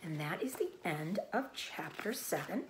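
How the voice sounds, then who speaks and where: Expressive woman reading a children's story. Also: on the low side, measured pace, background noise. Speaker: teacher reading aloud.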